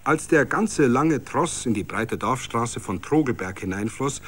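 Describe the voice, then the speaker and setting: A man reading a literary story as a narrator, in a vivid, dramatic, expressive way. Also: mid-range voice, unhurried, husky. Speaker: narrator, reader.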